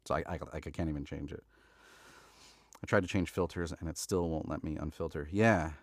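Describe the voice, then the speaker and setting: A man's voice: very deep voice, fairly quick, no echo, clean studio sound. A man with a stuffy nose from allergies who hosts a livestream, chatting casually and then reading a novel aloud. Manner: casual and chatty, laughs often, corrects his own misreadings. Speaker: audiobook narrator and livestream host.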